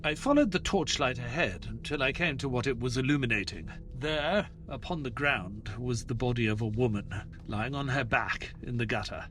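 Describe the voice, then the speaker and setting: An actor playing an earnest, uneasy gentleman companion who narrates an audio play dramatically, with a husky voice. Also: mid-range voice, moderate pace, a little hiss or hum, close to an everyday voice. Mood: grave.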